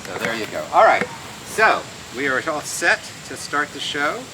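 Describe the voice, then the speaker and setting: A man's voice: fairly high pitch, big pitch swings, normal speed. A man hosting a show with casual, off-the-cuff live patter. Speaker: host performing a card trick. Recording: very noisy live recording.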